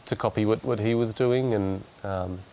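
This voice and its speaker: Aussie guy in his 30s, lowish voice, bit halting, laughs a lot, light hiss. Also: mellow. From Australia. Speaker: guest musician. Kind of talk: casual interview chat.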